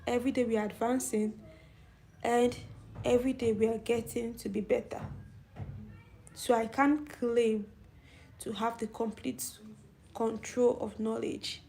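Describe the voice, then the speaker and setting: A woman's voice: on the high side, steady tone, unhurried, creaky, long pauses, dark tone, poor audio. A middle-aged woman with a young-sounding voice hosting a casual live stream, chatting and answering viewer comments. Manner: youthful-sounding voice. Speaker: channel host.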